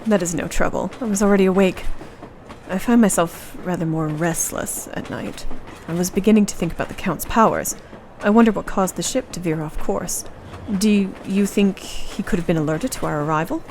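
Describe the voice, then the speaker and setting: A woman's voice: fairly low pitch, moderate pace, darkish tone, a little hiss or hum, lightly acted. A woman in a radio play acting a brave, clever young Victorian wife, speaking earnestly with formal period diction. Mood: uneasy, resolute.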